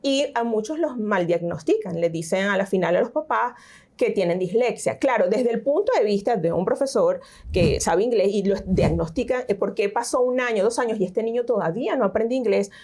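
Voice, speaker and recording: A middle-aged woman with a Venezuelan accent, a teacher giving an interview and explaining reading and pronunciation with lively examples. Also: mid-range voice, brisk. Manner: explanatory, animated, frequent fillers. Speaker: teacher and tutor, interview guest. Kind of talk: conversational interview.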